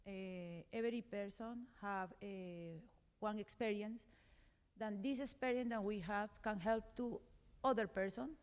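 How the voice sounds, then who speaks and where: A Colombian woman speaks English on a conference panel, her Spanish accent clear as she pauses for words. Her voice sits at an ordinary pitch. It carries the long ring of a large hall. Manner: earnest, searching for words, frequent hesitations. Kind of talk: panel discussion.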